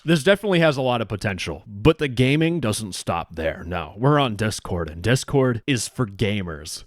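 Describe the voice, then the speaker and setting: A man's voice: mid-range voice, no echo, clean studio sound. A man giving casual, irreverent commentary as a video host, with a sarcastic, joking manner. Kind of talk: casual scripted commentary on app features.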